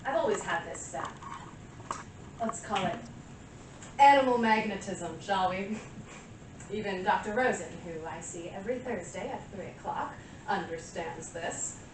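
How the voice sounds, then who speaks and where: An actress playing a vain, deluded woman who believes everyone desires her, in a deliberate theatrical stage monologue. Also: mid-range voice, expressive, natural voice. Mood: smug, boastful. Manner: self-adoring, deliberate delivery with pauses. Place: small live stage venue with audience. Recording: echoey room from far off, noisy.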